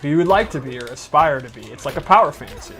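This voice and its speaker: Higher-voiced man commentating over game music. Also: expressive, racing. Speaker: commentator and player. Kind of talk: casual video game commentary. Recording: loud game music behind speech. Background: fighting game music and sounds.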